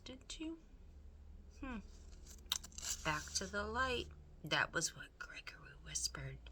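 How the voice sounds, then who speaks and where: A woman's voice: sits low, pushed and bright, a little hiss or hum. A woman reading a children's story aloud expressively, dramatically addressing the listener.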